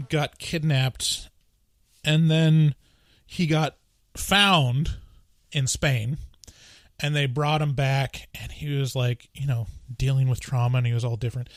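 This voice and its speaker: A man's voice: on the high side, dry recording, studio quality. A man in casual movie review chat, talking loosely with lots of "like" and "you know" and the odd laugh. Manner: chatty, frequent "like" and "you know", laughs between points.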